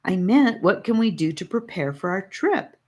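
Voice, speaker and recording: A woman voicing a feisty, bossy but caring young girl in an audiobook, with an emphatic, expressive delivery. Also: medium pitch, moderate pace, pitched above the performer's own voice, lightly acted. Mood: exasperated. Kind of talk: audiobook character voice.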